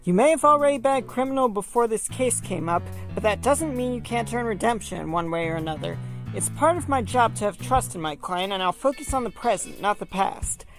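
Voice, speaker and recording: A woman's voice: fairly high pitch, animated, brisk. A woman voicing an earnest, stubbornly principled male animal defense attorney in an audio drama. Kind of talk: fan audio drama acting. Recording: music behind the voice.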